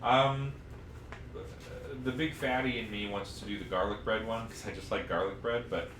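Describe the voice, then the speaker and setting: A man's voice: lowish voice, steady tone, normal speed, far from the mic. A man co-hosting a podcast in loose, informal, casual conversation. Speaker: podcast co-host. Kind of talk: casual chat. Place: untreated room, podcast recorded on a live stream. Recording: echoey room with noticeable background noise.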